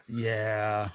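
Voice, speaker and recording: A man's voice: lowish voice, clean tone. A man hosting a casual podcast, joking around and rambling through many restarts. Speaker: podcast host. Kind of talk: casual chat.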